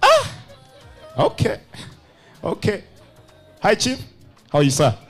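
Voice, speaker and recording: A high-voiced man with a Ugandan accent works the crowd at a nightclub launch. He teases guests in a bouncy, swinging voice, dropping into Luganda, with dance music playing behind him. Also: huge pitch swings, takes it slowly. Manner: playful, teasing, switches into Luganda. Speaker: event host interviewing guests. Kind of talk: lively party vox pop. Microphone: handheld microphone.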